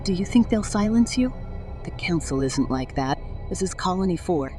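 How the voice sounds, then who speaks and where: A woman's voice: fairly low pitch, lively intonation, normal speed, close to an everyday voice. A woman playing a determined, persistent female reporter in a sci-fi audio drama. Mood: urgent. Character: determined, fearless young female reporter on Mars. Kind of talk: audio drama acting and narration. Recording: voice over loud music.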